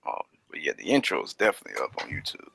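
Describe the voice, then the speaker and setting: A man's voice: very deep voice, wildly swooping pitch, very fast, gravelly, bright, forceful tone, breathy edge. A man being interviewed as an audio drama creator, chatting casually and peppering his talk with "man". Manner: laid-back phrasing, says "man" often.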